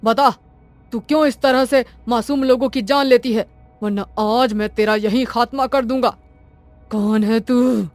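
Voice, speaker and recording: A woman voicing a bold, irritable male traveller with a brisk, challenging manner in a cartoon story. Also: fairly high pitch, steady tone, a little mellow, no echo, faint music behind, voice pushed up, natural voice. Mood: bold, commanding.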